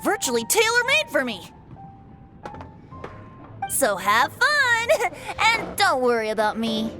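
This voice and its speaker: A woman's voice: high voice, wildly swooping pitch, slow pace, loud music behind the voice, full character voice. A woman voicing a playful, teasing young male bard in an anime game, with a light boyish voice and theatrical manner. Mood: breezy.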